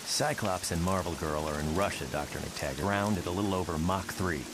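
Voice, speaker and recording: A learned, polite mutant scientist acted by a man in a motion comic. He speaks in a deep voice, with a rain-like hiss clearly behind him. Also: fairly quick. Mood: courteous.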